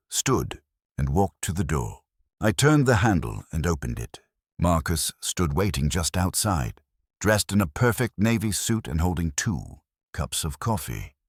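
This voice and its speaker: A man with an extremely low voice narrates a first-person drama in measured phrases. His pitch moves in lively swings, and the sound is dry and close. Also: breathy edge, dry recording.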